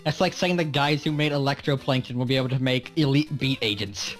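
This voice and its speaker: A man's voice: mid-range voice, brisk, faint music behind. A young man co-hosting a casual video game chat, cracking sarcastic jokes. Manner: joking, sarcastic quips. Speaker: co-host.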